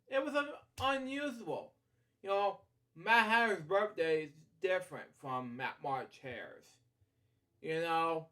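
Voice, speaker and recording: A man hosting a bedtime story read-aloud, halting and rambling as he drifts into casual asides. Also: fairly high pitch, bright, forceful tone. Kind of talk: picture-book read-aloud with casual off-the-cuff commentary.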